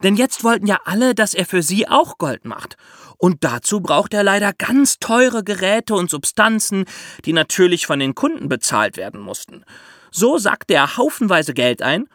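A man hosting a podcast, explaining step by step in lively storytelling for children with theatrical emphasis. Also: sits high, moderate pace, no echo, clean studio sound.

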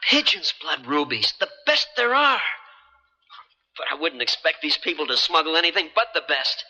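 An actor playing a wry, stubborn, suspicious adventurer in a radio drama, with hesitant, colloquial asides. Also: male voice, fairly high pitch, very animated, moderate pace, sharp tone, long reverb tail, heavily acted. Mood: wry, pleased. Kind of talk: 1970s American radio drama acting. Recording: vintage radio drama broadcast.

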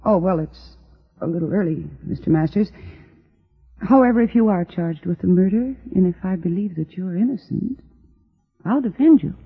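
Woman lawyer, husky, wry, composed, self-possessed, 1950s radio drama, muffled sound. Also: lowish voice, moderate pace.

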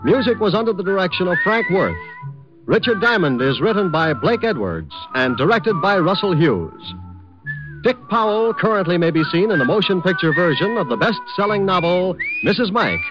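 A male radio announcer reads in a polished, scripted old-time style. His pitch is high and very animated. Music plays behind him on a dull vintage broadcast recording. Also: moderate pace.

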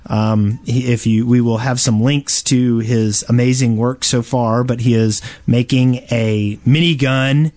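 A man's voice: medium pitch, steady tone, a little slow, few pauses, a little mellow. Male podcast co-host, mid-range voice, loose conversational delivery. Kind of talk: casual group podcast chat.

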